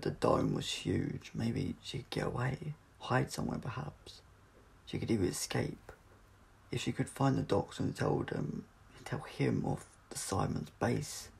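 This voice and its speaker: Gravelly, husky man reading a story. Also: lowish voice, a little mellow. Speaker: audiobook reader. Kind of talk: scripted story narration.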